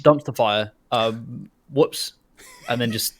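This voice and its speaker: A man's voice: medium pitch, slow. A man talking casually as a panelist in a conversation about video games. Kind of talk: casual chat.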